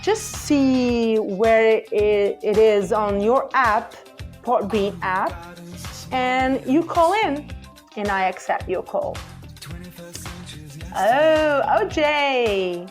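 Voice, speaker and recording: A woman in her forties speaks English with a Portuguese accent as she hosts a live call-in podcast. She talks slowly and chattily in a slightly high voice, pausing to search for words and laughing often. Faint music plays behind her. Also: animated. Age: 40s. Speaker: podcast host taking calls. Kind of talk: casual live call-in chat.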